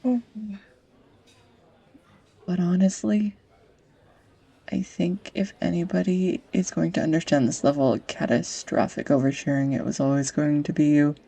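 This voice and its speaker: A woman acting an anxious, tender young woman in an intimate audio roleplay, speaking in a halting, confessional ramble on a close microphone. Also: deep voice, fairly even intonation, vocal fry, warm tone, husky, full character voice. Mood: tender, vulnerable. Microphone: close USB studio microphone.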